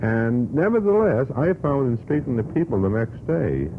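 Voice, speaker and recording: A man's voice: medium pitch, sing-song, moderate pace. A man on an unscripted radio panel, speaking in a formal, wordy, opinionated way on an old broadcast recording. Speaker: radio panelist questioning a guest. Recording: tinny, noisy vintage radio broadcast.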